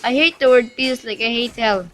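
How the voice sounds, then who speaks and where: A man's voice: very high voice, normal speed, sharp tone. A man playing a menacing, hot-tempered young villain who taunts his foes, in a noisy amateur student radio drama recording. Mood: threatening.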